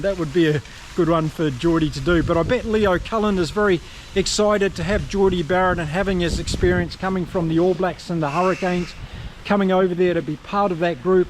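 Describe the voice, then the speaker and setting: A man's voice: high-pitched, a little hiss or hum. A man with a New Zealand accent giving casual, upbeat rugby commentary straight to his viewers. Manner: chatty, upbeat, addresses viewers directly. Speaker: rugby vlogger. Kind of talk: casual solo commentary to camera.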